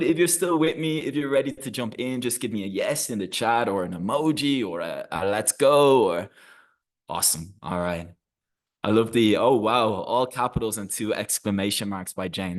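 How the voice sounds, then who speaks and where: An Irish man in his thirties giving an energetic, encouraging webinar presentation over a video call. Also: low-pitched. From Ireland. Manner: energetic, encouraging, with frequent restarts.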